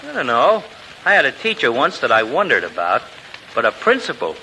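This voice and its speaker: An actor playing a chatty, wisecracking small-town barber in a folksy way for 1940s radio comedy. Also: male voice, mid-range voice, huge pitch swings, brisk, sharp tone, exaggerated voice. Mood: folksy, teasing. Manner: folksy, ungrammatical, needling. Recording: faintly noisy 1940s radio recording.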